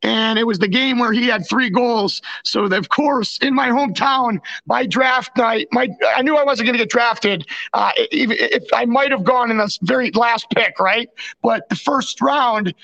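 A man's voice: very high-pitched, normal speed, gravelly, pushed and bright, muffled recording. A young North American man chatting casually about sports as a podcast co-host, often restarting his sentences. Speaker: podcast co-host and former hockey player.

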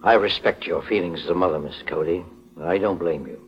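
An actor playing a calm, firm middle-aged private investigator who questions formally and politely in a golden age radio mystery. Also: male voice, low-pitched, fairly quick, breathy edge, heavily acted. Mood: polite, reassuring. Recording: muffled vintage 1940s radio recording.

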